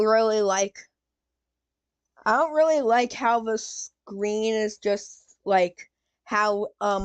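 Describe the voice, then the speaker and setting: Slow-talking woman chatting casually, close dry sound. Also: medium pitch, smooth, dry recording. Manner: murmurs asides to a pet cat. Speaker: streamer reacting to videos. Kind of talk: casual reaction chat.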